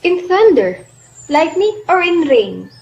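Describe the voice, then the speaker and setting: Witch, woman voicing, very high, swooping sing-song chant, slow; dull lo-fi home recording. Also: very animated, creaky, darkish tone, a little hiss or hum, exaggerated voice. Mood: ominous. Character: cackling shrill witch on a heath.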